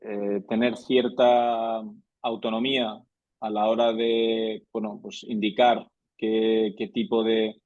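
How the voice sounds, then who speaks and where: Hesitant low-voiced man, dry lo-fi sound. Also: flat delivery, slow, dry recording. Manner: hesitant, restarts phrases. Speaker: panelist. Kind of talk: round-table discussion.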